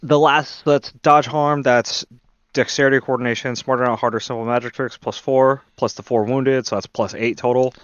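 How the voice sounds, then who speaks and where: A man's voice: mid-range voice, fairly even intonation, normal speed, clean tone, no echo, highs cut off. A man talking casually and thinking aloud as a player in a tabletop roleplaying game.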